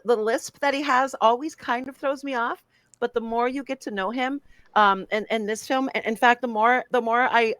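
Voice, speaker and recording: A woman's voice: on the high side, no echo. Chatty woman podcast co-host, dry close mic. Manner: animated, laughs often, many restarts and fillers. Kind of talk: casual podcast chat about films.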